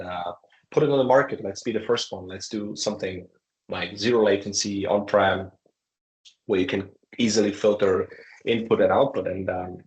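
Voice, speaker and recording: A man, a startup founder, answering interview questions in a halting way, with many fillers and restarts. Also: lowish voice. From Croatia. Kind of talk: conversational interview. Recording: echoey room.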